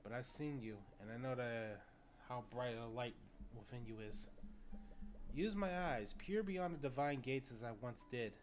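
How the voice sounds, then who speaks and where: A man voices a tender mother in a fantasy story. The delivery is solemn and loving at a middling male pitch, with soft music behind. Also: measured pace, close to an everyday voice.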